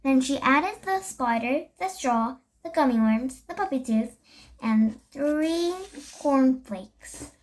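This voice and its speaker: Young child reader, very high voice, slow and halting, for a children's book read-aloud. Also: lively intonation, low-quality recording. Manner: halting, stumbles and corrects words. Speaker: storybook reader.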